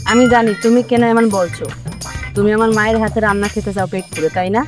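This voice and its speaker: A woman voicing a sharp-tongued, impatient young wife in a comedy cartoon, scolding, a little distant under music. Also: mid-range voice, steady tone, quick pace, natural voice. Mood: accusing.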